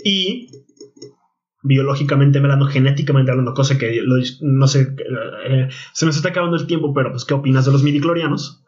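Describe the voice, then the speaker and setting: A man's voice: mid-range voice, a little fast. Young man, Mexican Spanish accent, casual podcast chat, restarts his sentences, muffled recording. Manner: animated, slangy, trails off into repeated restarts. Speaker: podcast co-host. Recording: dull lo-fi podcast audio.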